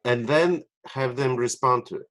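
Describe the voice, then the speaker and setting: A man with a Polish-American accent hosting a live webinar as a doctor. He explains a group exercise haltingly, with frequent restarts, and laughs easily. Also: mid-range voice, a bit bright, low-quality recording.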